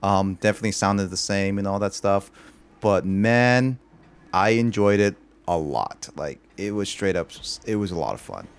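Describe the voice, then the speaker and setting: A man's voice: low voice, expressive, moderate pace, clear, resonant tone. A man chatting casually on a livestream, rambling and filling his talk with "like". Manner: rambling, loaded with "like" fillers.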